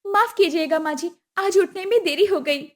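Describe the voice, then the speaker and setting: A woman's voice: extremely high pitch, moderate pace, pitched above the performer's own voice. A woman voicing a young bride who speaks in an earnest, polite but firm way.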